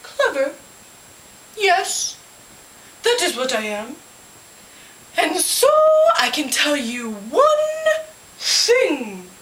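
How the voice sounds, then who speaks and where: Woman as a dramatic, high-pitched, self-important owl. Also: wildly swooping pitch, extremely slow, long pauses, fairly bright tone, roomy sound, a little hiss or hum, exaggerated voice, rough recording. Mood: self-important, ominous. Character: boastful, clever female owl warning of danger.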